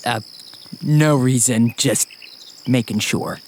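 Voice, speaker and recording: A friendly, gently awkward man in an audio drama. He speaks hesitantly and naturally at a middling pitch, with faint insects and outdoor ambience behind him. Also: takes it slowly, creaky, slight background noise. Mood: awkward, earnest.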